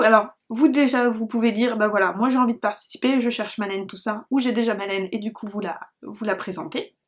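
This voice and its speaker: Woman chatting on her podcast, highish voice, rambly and self-deprecating, keeps losing her thread. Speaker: knitting podcast host. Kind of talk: casual rambling vlog talk to viewers.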